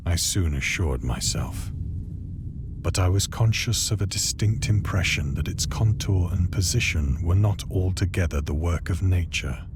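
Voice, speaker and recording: A man voicing a haunted, brooding castaway who recounts his horror in grave, measured, formal narration for a game. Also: low-pitched, background noise. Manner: measured, solemn, formal delivery. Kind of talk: scripted horror narration, first-person literary reading. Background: music.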